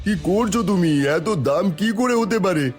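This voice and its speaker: A man voicing a worried village customer who complains plaintively, in an animated moral tale. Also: high voice, moderate pace, faint music behind, lightly acted. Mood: dismayed.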